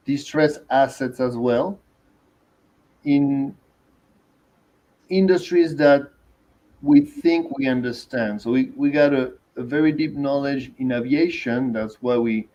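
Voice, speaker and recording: A man from Venezuela with a Venezuelan Spanish accent, introducing himself as an investor on a panel, measured and hesitant. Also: medium pitch, dark tone, muffled recording.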